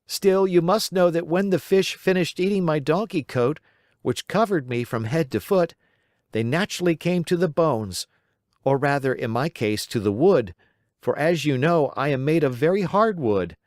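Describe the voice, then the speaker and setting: A man's voice: a little high, lively intonation, moderate pace, darkish tone, no echo, studio quality, voice pushed up, close to an everyday voice. A man voicing a cheeky young wooden puppet boy in a storybook reading, in a playful, raised voice. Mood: storytelling.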